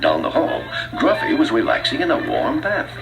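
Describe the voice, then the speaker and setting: Highly animated male storybook narrator over music. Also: moderate pace, creaky, poor audio. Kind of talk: scripted read-along children's narration. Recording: music under the voice.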